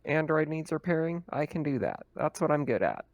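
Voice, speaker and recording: A player of middling pitch voicing a cocky, prickly young tech worker for hire in a casual, brash manner. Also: big pitch swings, brisk, no echo, lo-fi sound.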